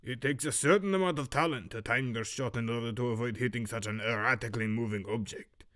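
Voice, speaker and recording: A man voicing a stern master archery instructor with a muddled, Romanian-like Eastern European accent, lecturing in a measured, severe way for an audio drama. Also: medium pitch, fairly bright tone, lightly acted. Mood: cold, solemn. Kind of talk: fan audio drama character acting.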